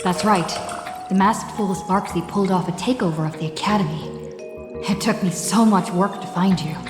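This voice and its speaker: A woman's voice: mid-range voice, moderate pace, close to an everyday voice. An actress playing an earnest young woman traveller in a video game, conversational and persuasive. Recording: echoey hall reverb, music behind.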